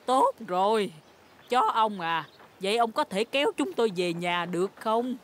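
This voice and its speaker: A woman voicing a sensible, gently scolding grandmother pig in a children's cartoon dub. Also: medium pitch, expressive, moderate pace, close to an everyday voice. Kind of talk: dubbed children's cartoon dialogue.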